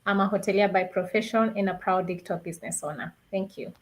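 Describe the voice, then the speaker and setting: A woman from Kenya introduces herself on a livestream panel in a brief, formal way. Her voice sits at an ordinary middle pitch. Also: fairly even intonation, fast. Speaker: panelist, hotelier.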